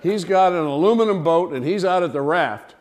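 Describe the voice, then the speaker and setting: A man telling a humorous personal anecdote to listeners in an animated, theatrical way. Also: high voice, fairly quick. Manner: animated, theatrical storytelling with acted-out cries and dialogue. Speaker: storyteller, speaker to an audience.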